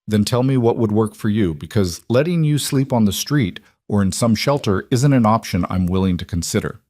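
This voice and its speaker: Guy playing a sorry mafia boss husband, low voice, measured but insistent, audiobook romance. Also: animated, darkish tone, no echo, studio quality. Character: remorseful, controlling, earnest mafia boss husband.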